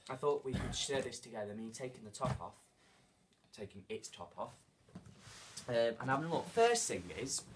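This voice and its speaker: A young British man chatting casually and unscripted to camera as he hosts an unboxing vlog in a living room. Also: mid-range voice. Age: young adult. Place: carpeted living room, indoors. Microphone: camera a short distance away. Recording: noisy home camera sound with clicks.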